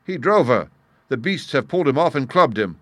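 A man voicing a desperate bearded young man who shouts in raised, urgent bursts. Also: mid-range voice, big pitch swings, brisk, dry recording, voice pushed up.

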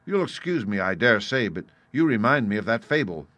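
Man as a composed, shrewd gentleman doctor. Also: lowish voice, very animated, dry recording. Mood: shrewd, probing. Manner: measured, courteous, reasoning. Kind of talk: audiobook character voice.

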